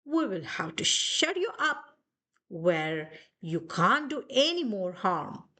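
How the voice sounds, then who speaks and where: A woman voicing excitable, urgent firemen in a children's storybook, calling out in short exclamations. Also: mid-range voice, slow pace, heavily acted. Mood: scolding. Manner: exclamatory, repeated short commands.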